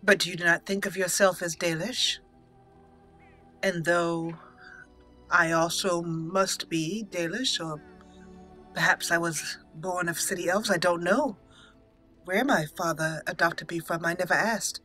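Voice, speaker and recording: A woman's voice: on the low side, fairly even intonation, a little slow, pauses often, sharp tone, faint music behind. A woman hosting a roleplay let's play, chatting and reading game dialogue aloud into a close microphone. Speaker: gaming channel host.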